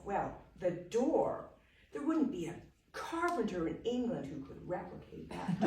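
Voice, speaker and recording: A woman storyteller voicing an eager young man telling boastful tales of a lord's wealth, animated and laughing. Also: mid-range voice, reverberant, recorded from a distance, slight background noise, voice pushed up.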